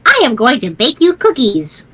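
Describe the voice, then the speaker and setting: A woman playing a high, theatrical ghostly granny. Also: wildly swooping pitch, moderate pace, a bit bright, husky, heavily acted. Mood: fond. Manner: theatrical, fond, instructive. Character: sweet, grandmotherly old woman ghost sprite, riddling and doting. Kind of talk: amateur dramatic reading of a webcomic.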